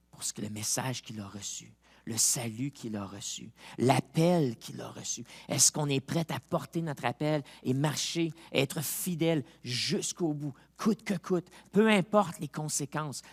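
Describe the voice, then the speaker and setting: A man with a Québécois accent, a pastor preaching a sermon who moves from quiet reflection to loud, rising appeals, with chatty personal asides. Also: fairly high pitch. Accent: Québécois French. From Quebec. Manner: chatty asides with fillers and laughs.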